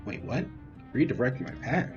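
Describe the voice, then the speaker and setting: A man's voice: mid-range voice, very animated, normal speed. A man giving casual, conversational reactions and commentary as he plays a video game. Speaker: gaming video creator. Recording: dull microphone under loud game music. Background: loud game music.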